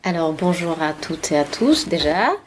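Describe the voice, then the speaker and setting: A woman's voice: deep voice, lively intonation, normal speed, slight background noise. A woman in her forties from Finland with a Finnish accent, speaking French as a second language, answering questions at a library talk with many fillers and restarts. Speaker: interviewed author and journalist. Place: library meeting room.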